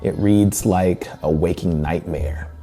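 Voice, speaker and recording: A man's voice: sits low, slow, mellow, faint music behind. A man presenting a semi-scripted literary analysis in a conversational way, with wry asides. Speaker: presenter reviewing a short story.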